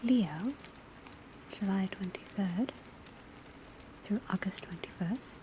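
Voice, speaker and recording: A woman playing a composed, formal witch school teacher, lecturing in a soft, breathy, often whispery ASMR style with measured, precise delivery. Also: mid-range voice, lightly acted. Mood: calm, instructive. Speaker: ASMR roleplay performer. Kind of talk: ASMR classroom roleplay lecture. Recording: steady rain and crackling fire noise. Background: rain on roof, crackling fireplace, pencil writing.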